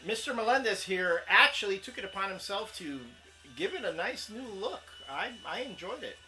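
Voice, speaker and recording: A man's voice: sits high, animated, normal speed, bright, forceful tone, soft background music, poor audio. A man, co-hosting a casual comics chat show, rambling with plenty of fillers. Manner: rambling, laughs often, many fillers. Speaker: co-host.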